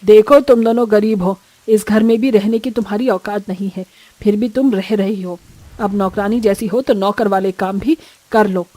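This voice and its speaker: A woman's voice: medium pitch, steady tone, normal speed, dark tone, dry recording, natural voice. A woman voicing an imperious, contemptuous middle-aged mother-in-law in a cartoon story. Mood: scornful, bossy. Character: haughty, scolding rich mother-in-law in a cartoon. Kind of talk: Hindi cartoon morality story acting.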